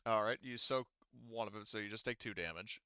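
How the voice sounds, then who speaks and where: A man narrating and calling rules as game master of a tabletop roleplaying game, in a casual, halting way with trailing thoughts. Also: lowish voice, pushed and bright, lo-fi sound, slight background noise.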